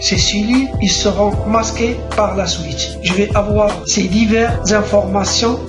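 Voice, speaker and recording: A man talks through a screen-recorded spreadsheet tutorial at an even, methodical clip, spelling out each step. His voice rings in an echoey room, with clear music running underneath. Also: sits high, a little slow. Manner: steady step-by-step dictation of clicks and formulas. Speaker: software tutor.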